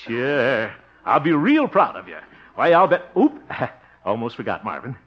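A man's voice: medium pitch, wildly swooping pitch, a little slow. An actor playing a fussy, proud, blustering father in a hearty 1950s radio comedy style. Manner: hearty, fussing, blustering, chuckling. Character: blustery, fussy, proud adoptive father, jolly small-town homemaker. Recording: dull, hissy old radio recording.

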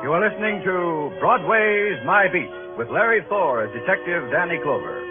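Old radio announcer guy, lively scripted delivery, thin tinny broadcast sound. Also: high voice, moderate pace, over loud music.

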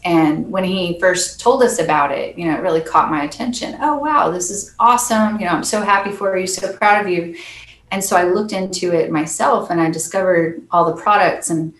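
A soft-spoken woman, a percussionist guest, chatting earnestly and laughing often in an interview. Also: lowish voice, normal speed, echoey room, a little hiss or hum, poor audio. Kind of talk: casual interview chat.

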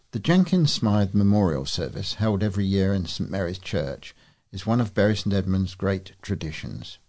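Deep, husky male newsreader. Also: a little mellow. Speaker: volunteer newsreader for a local talking newspaper. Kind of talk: scripted news reading.

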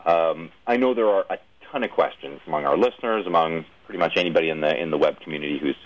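A man's voice: rumbling low, lo-fi sound. A man hosting an interview show, putting long-winded, hesitant questions in a conversational way. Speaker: radio show host, interviewer.

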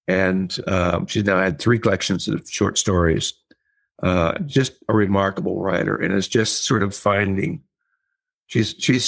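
A man talking casually as an interview guest, rambling with many fillers and restarts. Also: lowish voice. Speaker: guest, editor.

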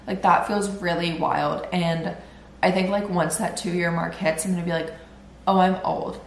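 A woman's voice: lowish voice, fairly even intonation, unhurried, gravelly, reverberant, a little hiss or hum. A woman in her twenties hosting a solo podcast, chatty and rambling, sounding nasal and congested as she recovers from illness. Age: 20s. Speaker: podcast host. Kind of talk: casual solo podcast chat.

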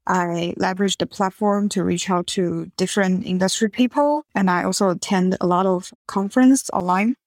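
A woman, answering interview questions in an earnest, explanatory way with slightly halting phrasing over a remote call. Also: mid-range voice, no room sound, studio quality. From Taiwan. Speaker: podcast guest.

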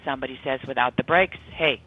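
A woman's voice: extremely low pitch, quick pace, husky, narrow, tinny audio, faint music behind. A woman speaking as an interview guest, explaining her ideas conversationally as an author and corporate trainer, in band-limited sound.

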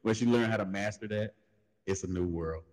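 A man's voice: lowish voice, brisk, a little mellow. A middle-aged man, giving laid-back advice in casual podcast talk and telling stories. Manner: laid-back, storytelling with acted quotes. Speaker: podcast co-host. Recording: long hall reverb.

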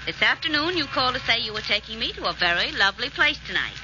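An actress playing a detective's witty, sardonic young sweetheart, with dry playful quips and an American accent, in 1940s radio drama style. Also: female voice, a little high, very animated, fast, background noise. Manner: dry, playful, arch quipping. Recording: muffled old radio recording with music.

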